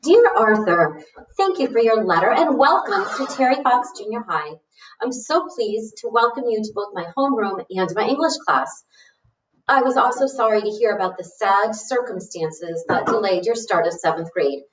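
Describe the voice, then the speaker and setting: A woman reading a storybook letter as a polite, encouraging, welcoming junior high English teacher. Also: lively intonation, normal speed, natural voice. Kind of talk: storybook read-aloud of a letter. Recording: roomy, echoey, distant mic.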